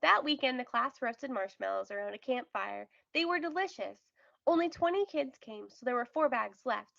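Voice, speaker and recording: A woman narrating a children's storybook aloud with careful, expressive storytelling. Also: on the high side, moderate pace, creaky, no echo, dull audio. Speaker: storybook reader.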